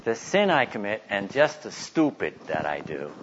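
A man giving informal religious teaching, telling stories and reading aloud in an animated way. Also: fairly low pitch, moderate pace, slight background noise. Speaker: Bible teacher giving a talk. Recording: slightly dull, lo-fi recording.

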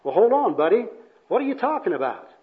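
A wary skid row bum, acted by a man in an amateur skit, baffled and sardonic. He talks in slangy, puzzled phrases at a raised pitch. The sound is dull and lo-fi. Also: sing-song, quick pace. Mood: baffled, protesting. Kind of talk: amateur dramatic reading of a comic skit.

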